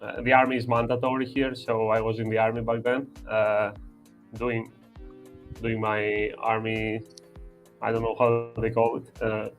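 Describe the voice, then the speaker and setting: A man's voice: lowish voice, completely flat delivery, soft background music, poor audio. Hesitant young man from Albania. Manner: hesitant, many "uh" pauses, slightly broken English. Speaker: guest. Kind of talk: casual chat.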